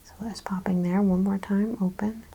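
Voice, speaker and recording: A woman speaking softly and breathily, often in a whisper, giving gentle, reassuring instructions as a chiropractor in an ASMR roleplay. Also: medium pitch, steady tone, moderate pace, husky. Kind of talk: ASMR, soft-spoken clinical roleplay exam.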